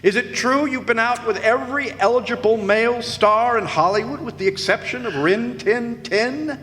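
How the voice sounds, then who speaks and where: A man's voice: very high-pitched, moderate pace, fairly bright tone. A man playing a pompous, boozy old-time radio comedian host, with florid, mock-gallant talk. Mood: dry, needling. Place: stage in a hall. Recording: echoey hall, clear background noise.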